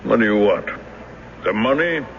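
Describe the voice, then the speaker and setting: An actor playing a cold, stiff, haughty bank executive in a radio drama, curt and formal. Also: male voice, lowish voice, animated, moderate pace. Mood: guarded, challenging. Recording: dull noisy vintage radio drama recording.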